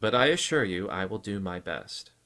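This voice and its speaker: A man voicing a stout psychiatrist in an audiobook, grave and solicitous, with an earnest, deliberate, clinical manner. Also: fairly low pitch, lively intonation, pushed and bright. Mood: frank, measured. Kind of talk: audiobook character acting.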